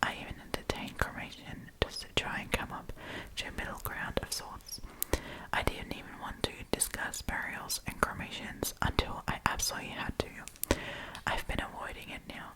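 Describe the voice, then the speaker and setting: A woman hosting an ASMR storytime, reading online stories aloud in a soft, breathy whisper. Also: low-quality recording. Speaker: host of an ASMR storytime channel.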